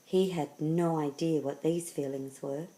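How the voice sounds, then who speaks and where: Female storybook narrator, slightly low register, dramatic and deliberate phrasing for young listeners. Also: steady tone, dark tone, low-quality recording. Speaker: storybook reader. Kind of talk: expressive scripted children's story narration.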